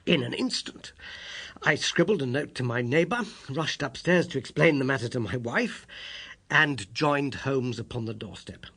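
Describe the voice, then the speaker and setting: Husky, bright British male storyteller. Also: mid-range voice, moderate pace. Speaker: audiobook narrator. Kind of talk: scripted literary narration, first person.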